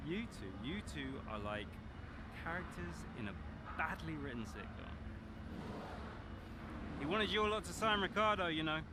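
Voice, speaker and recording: A man's voice: fairly high pitch, animated, moderate pace, pauses often, natural voice. Cocky, taunting male rival racing driver, acted by a man, noisy paddock. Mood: mocking. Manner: taunting, sardonic, slangy. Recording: very noisy outdoor paddock, passing cars.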